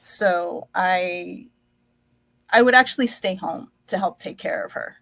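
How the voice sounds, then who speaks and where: A woman speaks slowly and thoughtfully as she tells her own story, pausing often with ums. Her first language was Dutch. Her voice sounds dry and close, with little room sound. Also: lowish voice, gravelly, fairly bright tone, no room sound. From United States. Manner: slow, reflective, many fillers. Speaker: guest. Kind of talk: telling her life story.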